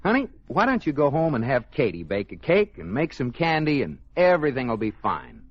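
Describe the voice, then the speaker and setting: An actor playing a genial, patient banker husband in a 1940s radio sitcom, teasing and soothing by turns. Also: male voice, medium pitch, brisk, slightly rough. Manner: teasing, excitable then soothing. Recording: dull, hissy old radio recording.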